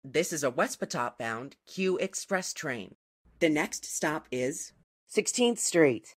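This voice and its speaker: A woman announces trains and stops in a formal, scripted style. Her voice is on the low side and slightly husky, with lively intonation and unhurried, segmented phrasing. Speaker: subway station announcer.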